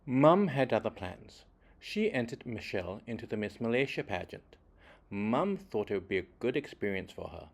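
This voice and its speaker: Low-voiced man reading a children's story. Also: very animated, creaky. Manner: dramatic emphasis, deliberate phrasing, varied delivery. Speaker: children's book reader. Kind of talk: expressive read-aloud storytelling for children.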